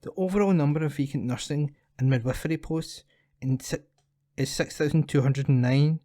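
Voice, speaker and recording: A man's voice: a little high, normal speed, mellow, no echo, clean studio sound. A man reading scripted local news as a volunteer for an audio newspaper. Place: at home, dry room.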